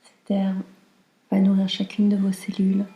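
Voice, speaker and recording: A woman guiding a slow relaxation meditation in a calm, soothing, soft and breathy voice that often nears a whisper. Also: mid-range voice, roomy sound, low-quality recording. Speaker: yoga nidra guide.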